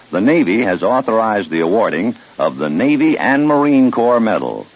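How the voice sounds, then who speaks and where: A man's voice: lowish voice, slightly rough. A man with an American accent reading a formal scripted public service announcement on old-time radio. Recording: muffled, narrow old radio recording.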